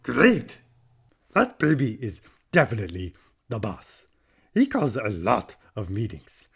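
A man voicing a friendly, excitable furry cartoon monster who hosts a children's show with enthusiasm and a husky, gravelly voice. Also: on the low side, measured pace, exaggerated voice. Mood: chatty, encouraging. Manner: enthusiastic, encouraging. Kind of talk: upbeat children's presenting.

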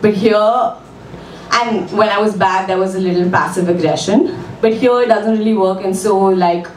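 A woman's voice: mid-range voice, steady tone, normal speed, darkish tone, a little hiss or hum. Indian woman doing wry stand-up. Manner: self-deprecating, wry, with frequent ums and laughs. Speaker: stand-up comic at an open mic. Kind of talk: stand-up comedy set. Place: small club stage with red curtain. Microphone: mic on a stand, filmed from the room. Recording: echoey club room, voice from far off.

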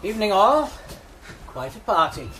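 An actor playing a calm, clipped male police sergeant in a radio drama. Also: high-pitched, normal speed, noisy background. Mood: laconic. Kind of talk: BBC radio drama acting.

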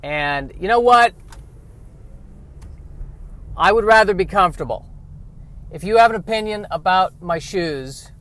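A man hosting a podcast alone in a rambling comic monologue, halting and repeating his phrases. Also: high voice, fairly bright tone. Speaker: solo podcast host.

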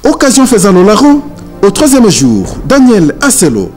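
A man's voice: high voice, huge pitch swings, normal speed, over music. A man reading scripted news narration as a reporter.

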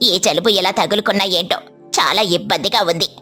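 A woman's voice: medium pitch, fast talker, bright, forceful tone, faint music behind, voice pushed down. A woman voicing a kindly, easygoing crow neighbour in a cartoon story, reassuring and matter-of-fact. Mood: complaining.

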